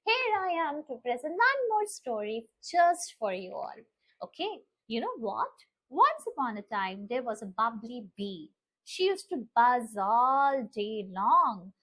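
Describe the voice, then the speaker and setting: A woman storyteller speaks to small children in a high, very animated voice. She takes her time, teaching as she goes and drawing listeners in with questions. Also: takes it slowly, poor audio. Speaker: children's storyteller and channel host.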